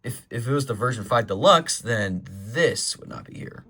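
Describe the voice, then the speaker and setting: A man's voice: medium pitch, animated, normal speed, pushed and bright, breathy edge. A man giving a casual, rambling show-and-tell as a collector, in an informal and opinionated way. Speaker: collector presenting a weather radio collection.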